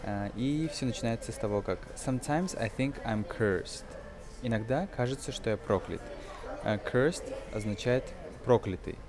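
A man's voice: mid-range voice, moderate pace, over music. A man in his twenties giving an informal English lesson, explaining hesitantly phrase by phrase. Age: 20s. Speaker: English teacher. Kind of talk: informal film-based language lesson.

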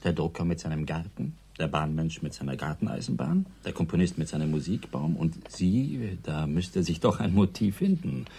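An actor playing a sardonic, practical doctor and amateur sleuth in a German radio crime drama, dry and probing. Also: male voice, low voice, big pitch swings, moderate pace, vocal fry, hardly any pauses, mellow, breathy edge, exaggerated voice. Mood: sceptical.

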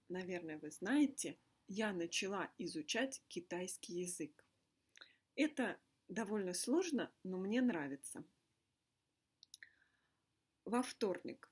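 A woman teaching a language lesson in deliberate, simple, carefully repeated phrases. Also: mid-range voice, darkish tone, slightly rough. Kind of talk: slow scripted language lesson monologue. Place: indoor room with a fireplace.

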